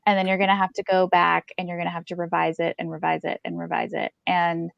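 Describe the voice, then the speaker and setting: Woman podcast cohost, gravelly fry, dull lofi mic, casual n encouraging, emphatic. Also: on the low side, monotone, fast talker, no echo. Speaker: podcast co-host and book editor. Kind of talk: casual conversational discussion.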